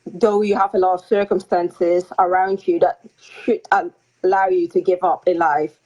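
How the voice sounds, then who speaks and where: A woman's voice: fairly low pitch, level tone, normal speed. Hesitant young woman on a dull call. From Netherlands. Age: young adult. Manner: soft-spoken, hesitant with frequent ums and light laughs. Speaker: guest author. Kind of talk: casual interview over a video call. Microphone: video call audio. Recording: slightly dull lo-fi call audio.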